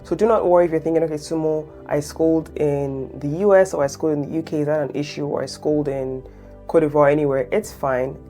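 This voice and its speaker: A woman's voice: deep voice, fairly even intonation, quick pace, warm tone, soft background music. A woman from Nigeria, a French teacher and channel host, explaining step by step and often checking in with her viewers. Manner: encouraging, checks in with "okay?". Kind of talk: explainer lesson to camera.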